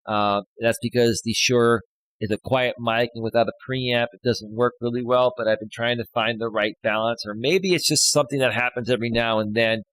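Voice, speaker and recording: A loud-voiced man in his thirties talks to his live-stream viewers in a loose, rambling way, full of fillers and "right?" tags. His pitch sits in an ordinary middle range. He is close on a studio mic in a dry space with no room sound. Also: steady tone, a little fast, dry recording, low-quality recording. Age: 30s. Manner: chatty, filler-heavy, keeps adding "right?". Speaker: life coach hosting a live stream. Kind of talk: casual live-stream talk, reading chat posts. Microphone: studio dynamic microphone.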